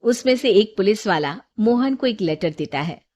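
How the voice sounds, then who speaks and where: A woman's voice: medium pitch, expressive, moderate pace, darkish tone. A woman storyteller reading a scripted moral tale as narration.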